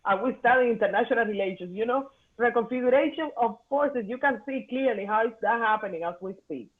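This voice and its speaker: Cuban lady hosting a podcast, spanish is her first language, animated and emphatic, sounds like a thin phone line. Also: a little high, brisk. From Cuba. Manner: animated, emphatic, slightly broken English. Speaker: podcast host. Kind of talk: casual conversation. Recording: tinny phone-like remote call audio.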